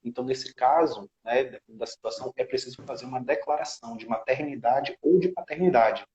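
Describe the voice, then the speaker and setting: A man's voice: mid-range voice, fairly quick, darkish tone, echoey room, highs cut off, a little hiss or hum. A man with a Brazilian Portuguese accent, a guest specialist explaining a legal process step by step and often checking in with tag questions. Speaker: citizenship law specialist, guest. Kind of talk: explanatory interview talk.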